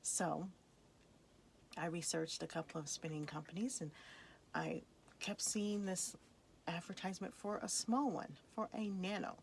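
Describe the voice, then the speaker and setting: A woman's voice: on the low side, slight background noise. A woman in her thirties, born in Boston and raised in Texas, hosting a casual personal vlog in a chatty, upbeat way with frequent laughs. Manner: chatty, upbeat, laughs often between lines. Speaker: vlog host, crafter.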